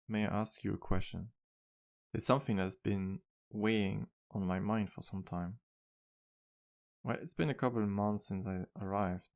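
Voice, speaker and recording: Male actor as gentle vampire bartender, low gravelly voice, soft-spoken, earnest, wistful, flirtatious, intimate ASMR roleplay. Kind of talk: ASMR roleplay, intimate one-sided dialogue to listener.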